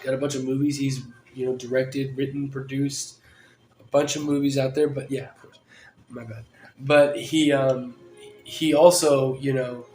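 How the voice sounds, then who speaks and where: A man's voice: medium pitch, level tone, moderate pace, faint music behind. Rambling, joking male podcast host. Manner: rambling, joking, laughs loudly. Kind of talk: casual chat. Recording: roomy room with faint ambience.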